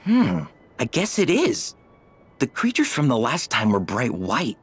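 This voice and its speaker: A man playing an eager, chatty, curious teenage boy sleuth in an animated video game. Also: medium pitch, a little slow, breathy edge, soft background music, heavily acted.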